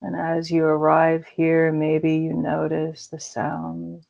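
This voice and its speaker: A woman leading a guided meditation in a slow, soothing way with gentle pauses. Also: sits low, monotone, vocal fry. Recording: dull lo-fi recording.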